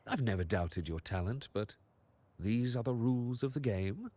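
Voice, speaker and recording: An actor voicing a sly, money-loving manager in a video game, with theatrical, mocking formality and a condescending air. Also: male voice, medium pitch, expressive, moderate pace, dark tone, lightly acted. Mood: warning.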